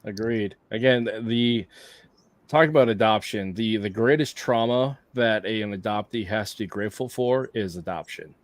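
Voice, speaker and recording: A middle-aged man from Hawaii, hosting a relaxed podcast over a remote call. His voice sits on the low side and sounds close and dry, with little room around it. He talks in a laid-back, reflective way, easing into his points with repeats. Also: moderate pace, no room sound. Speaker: podcast host. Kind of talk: casual podcast conversation.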